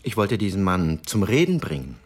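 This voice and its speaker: A man's voice: low voice, big pitch swings, normal speed, darkish tone, breathy edge, heavily acted. A man acting as a grieving middle-aged father in a radio drama, formal and pointed, bitter and determined. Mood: subdued, defiant.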